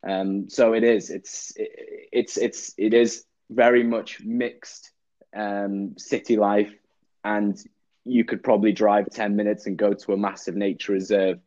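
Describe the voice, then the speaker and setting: A man's voice: lowish voice, dry recording. Young man with a dry, muffled voice. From England. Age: young adult. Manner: relaxed, halting, repeats words, dry jokes. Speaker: guest actor. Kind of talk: casual interview chat.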